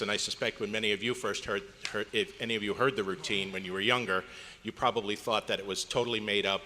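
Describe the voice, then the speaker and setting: A man's voice: fairly low pitch, sharp tone. A man giving an introductory talk to a live audience over a microphone. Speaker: club member introducing a radio play recreation. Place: hotel convention meeting room, indoors. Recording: echoey hotel meeting hall, noisy background.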